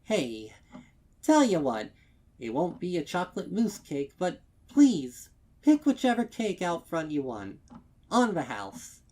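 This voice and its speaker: A distraught young cartoon mare, pitched very high and pushed loud. The voice trembles and stammers under a brittle, forced cheerfulness, as in a dark storybook reading. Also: male voice, wildly swooping pitch, slow, heavily acted. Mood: forced cheer.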